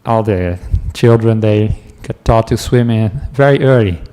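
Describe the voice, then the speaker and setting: Dutch-accented monk telling a gentle story. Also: male voice, lowish voice, unhurried, clear, resonant tone. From Netherlands. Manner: gentle, chatty storytelling with asides and small laughs. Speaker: meditation teacher, monk. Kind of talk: dharma talk to a class.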